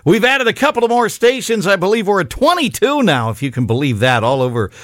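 A man's voice: high-pitched, sing-song, brisk, a bit bright, dry recording, clean studio sound. A man with a Minnesota accent hosting a radio music show in a folksy, rambling, conversational way.

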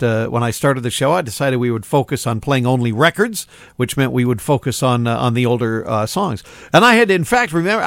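A middle-aged man hosts an oldies radio hour, chatting between records in a loose, upbeat, rambling way full of "uh"s. His voice sits a bit high for a man. The sound is dry and close, with no room echo. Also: a bit bright. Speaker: radio show host. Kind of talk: casual radio DJ chat.

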